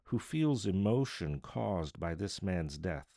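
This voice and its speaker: A man's voice: low voice, sing-song, takes it slowly, warm tone, no echo, clean studio sound, heavily acted. A man playing an anguished, fearful old man in a storybook reading, solemnly beseeching. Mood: agonized pleading.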